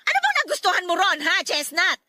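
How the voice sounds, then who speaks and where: A woman's voice: extremely high pitch, sing-song, a little fast, pushed and bright, no room sound, studio quality, heavily acted. A woman playing an angry, nagging middle-aged mother in a Filipino radio drama, harsh and sharply emphatic. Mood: angry, scornful. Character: angry, scolding mother who scorns her daughter's boyfriend.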